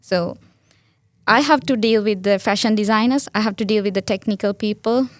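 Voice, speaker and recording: A woman's voice: mid-range voice, normal speed, no echo, studio quality. A young woman from Sri Lanka with a Sri Lankan accent, a native Sinhala speaker, answering interview questions earnestly with many restarts and fillers. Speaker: guest.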